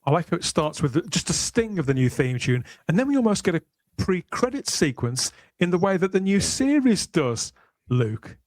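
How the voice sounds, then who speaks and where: A man hosting a podcast panel discussion, talking in a measured, thoughtful way. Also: high voice, very animated, darkish tone, no echo. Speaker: podcast host. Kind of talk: panel discussion of a sci-fi series.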